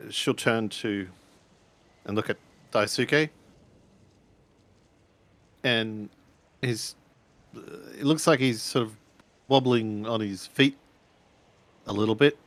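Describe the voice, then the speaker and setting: A man playing a tabletop roleplaying game, improvising casually with halting phrasing. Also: on the low side, a bit bright.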